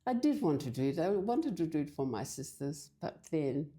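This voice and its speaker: An older woman from Fiji, talking in a halting, hesitant way as a guest in a casual church interview. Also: deep voice, sing-song, warm tone. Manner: hesitant, halting with many restarts.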